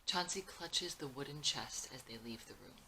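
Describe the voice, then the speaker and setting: A woman with a low, crisp-edged, bright voice narrates a film script. She reads out scene headings and action lines in a scripted style. There is faint noise behind her. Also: animated, normal speed. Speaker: screenwriter reading own script.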